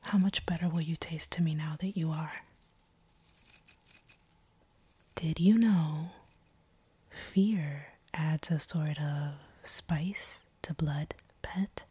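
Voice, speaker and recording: A woman playing a seductive, teasing, slightly sadistic vampire captor in an intimate audio roleplay, speaking in a breathy whisper close to the microphone. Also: low-pitched, fairly even intonation, dark tone, dry recording, full character voice. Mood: teasing, menacing. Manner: slow, teasing, predatory purr. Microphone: close microphone, dry.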